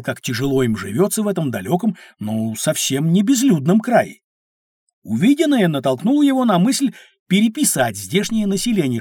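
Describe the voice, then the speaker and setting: Casting: patient, knowledgeable uncle in educational audio drama; raspy edge, expressive, dry studio sound. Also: male voice, fairly high pitch, no echo. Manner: patient, storytelling, emphatic. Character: knowledgeable uncle explaining history to children.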